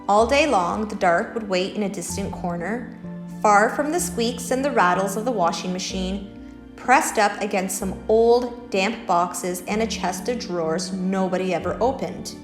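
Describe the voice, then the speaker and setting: A woman's voice: medium pitch, normal speed, echoey room, over music. A woman hosting a children's story time, reading picture books and talking in simple, encouraging words to young listeners. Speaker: library story-time host.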